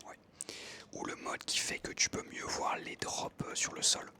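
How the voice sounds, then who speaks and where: Young ASMR gaming narrator, close-miked breathy whisper, very sibilant and sharp, casual enthusiastic chat. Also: measured pace. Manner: informal, enthusiastic gamer talk. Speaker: ASMR creator narrating own gameplay. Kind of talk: ASMR whispered casual storytelling over gameplay.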